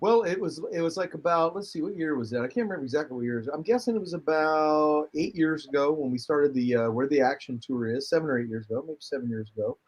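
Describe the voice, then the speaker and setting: A man, a guest musician, telling long rambling stories in a casual chat, animated and full of restarts. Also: a little high, quick pace, hardly any pauses, low-quality recording.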